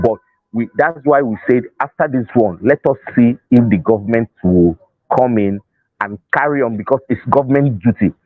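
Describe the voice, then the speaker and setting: Male interview guest, Nigerian accent, slightly low pitch, dry recording, explanatory delivery with rephrasing. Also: no room sound, phone-quality sound. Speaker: interview guest, festival organiser. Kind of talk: unscripted interview talk.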